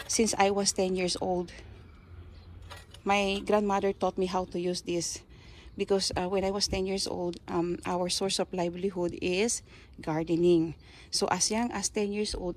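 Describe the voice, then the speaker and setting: A woman with a Filipino accent, Kapampangan by birth, casually showing her backyard garden and tools, pausing and searching for words. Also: fairly low pitch, a little mellow, a little hiss or hum. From Philippines. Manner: slow, halting, searches for words. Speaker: gardening vlogger. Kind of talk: casual show-and-tell. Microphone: handheld phone, speaker off camera.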